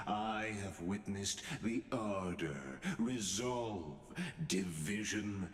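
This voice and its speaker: A man playing a cryptic, ancient observer in a fantasy game, speaking in grandiose, deliberate oratory with theatrical flourish. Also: deep voice, a little hiss or hum. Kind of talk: scripted fantasy game voice acting. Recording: echoing voice over music.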